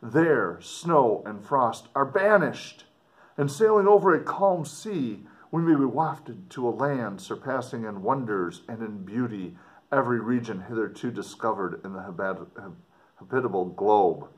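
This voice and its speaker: A middle-aged man, a teacher, reading a novel aloud to students with casual asides, expressive and declamatory when reading. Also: medium pitch, a little slow. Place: indoors in front of a dark board.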